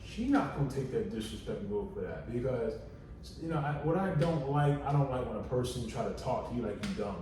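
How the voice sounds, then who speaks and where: A soft-spoken man in his thirties with a deep voice, chatting casually on a podcast in a relaxed, drawling way with many fillers. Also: a little fast. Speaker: podcast co-host. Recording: echoey room, distant mic, faint noise.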